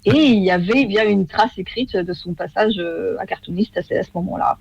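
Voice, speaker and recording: Give me a woman in her thirties speaking haltingly, with a creaky, gravelly voice. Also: medium pitch, darkish tone, dry recording, highs cut off. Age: 30s. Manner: hesitant, many fillers and restarts. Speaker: guest, former masterclass student. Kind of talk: casual radio interview.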